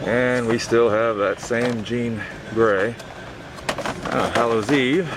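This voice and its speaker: A man's voice: mid-range voice, fairly even intonation, takes it slowly. A man hosting his YouTube show, narrating in a casual vlog style. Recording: loud background noise.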